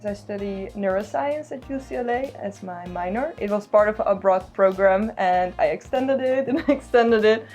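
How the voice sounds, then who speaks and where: Young woman, cheerful casual chat, laughs often, soft music behind. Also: mid-range voice, normal speed, few pauses. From Netherlands. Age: young adult. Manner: cheerful, laughs between phrases. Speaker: interviewee, neuroscience student.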